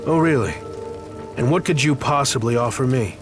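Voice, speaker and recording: A man's voice: mid-range voice, animated, normal speed, music in the background, lightly acted. A man playing a stern, proud soldier in a video game, speaking tersely and formally. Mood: curt, suspicious. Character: stern, proud soldier of the sun, curt hero. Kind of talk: serious video game acting.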